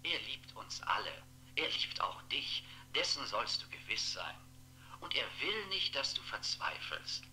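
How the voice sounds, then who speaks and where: A sharp, fervent telephone priest. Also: male voice, mid-range voice, very animated, unhurried, whispered, heavily acted. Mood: fervent admonishing. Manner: solemn, fervent, admonishing. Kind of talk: recorded sermon in 1960s radio play. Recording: humming, noisy telephone line.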